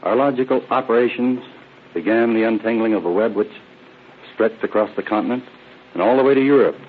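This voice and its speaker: A man giving a formal, measured scripted radio address as a police captain introducing a case. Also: on the low side, a little hiss or hum. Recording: narrow, lo-fi 1930s radio recording.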